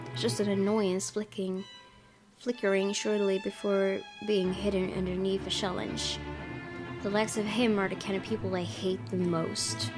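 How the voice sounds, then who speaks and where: A woman reading a visual novel aloud as first-person dramatic narration. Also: mid-range voice. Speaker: gaming video host reading aloud. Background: game music.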